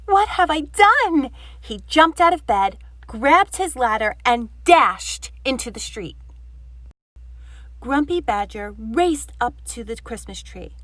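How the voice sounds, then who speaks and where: Lady reading a kids story out loud, slow n very expressive, highish voice. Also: no room sound. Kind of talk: expressive children's read-aloud narration.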